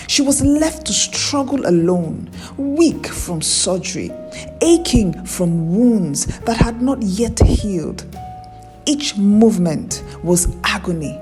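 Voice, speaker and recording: A woman narrating a scripted folktale in a measured, dramatic storytelling manner. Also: medium pitch, darkish tone.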